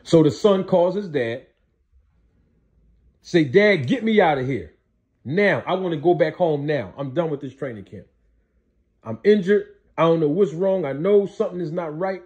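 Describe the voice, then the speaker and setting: A man's voice: on the high side, animated, moderate pace, pauses often, darkish tone, slightly rough, muffled recording. A man giving explanatory storytelling commentary as a host, emphatic, repeating his points and posing rhetorical questions. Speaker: commentator hosting a boxing commentary show.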